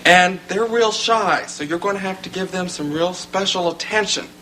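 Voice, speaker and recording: A friendly young puppeteer who is a little shy before children. His voice is fairly high and bright, in natural television drama style. Faint room noise is behind him. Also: normal speed, poor audio. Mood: nervous, friendly.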